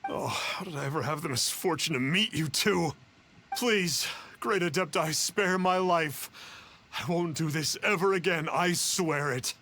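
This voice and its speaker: A man's voice: on the high side, a little slow, bright, forceful tone, slight background noise. A man playing a pompous, cowardly conman in a video game, with theatrical, old-fashioned phrasing. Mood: panicked, pleading. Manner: pompous, theatrical, archaic speech. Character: pompous, cowardly male conman posing as an immortal, grovelling. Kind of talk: video game character dialogue. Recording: game music behind the voice.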